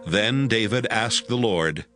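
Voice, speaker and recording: A man's voice: on the low side, slow, music in the background. A man narrating a dramatized audio Bible as scripted storytelling.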